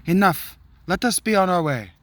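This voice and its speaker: A man doing a high-voiced young princess. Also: very animated, normal speed, no echo. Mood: regal. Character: young noble princess, soft and dignified. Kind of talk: comic dramatic reading.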